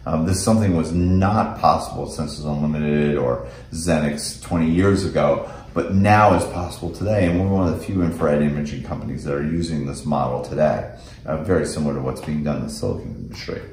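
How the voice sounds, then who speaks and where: Male technical presenter, American, middle-aged, very low voice, recorded with plenty of room echo. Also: a little fast, a little mellow. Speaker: company representative presenting technical products. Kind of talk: technical product demo and explanation. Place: indoors in a small office meeting room. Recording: echoey room.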